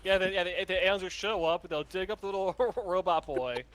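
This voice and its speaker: Guy w slightly high voice, keeps restarting his sentences. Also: fairly quick, a bit bright. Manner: hesitant, full of restarts. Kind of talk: casual chat among friends.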